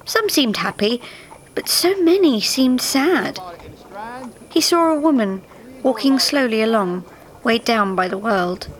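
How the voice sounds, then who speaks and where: A woman reading a scripted story to a live audience in a measured, dramatic way. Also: on the high side, expressive, low-quality recording. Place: theatre stage, live reading. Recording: live theatre recording with faint background noise.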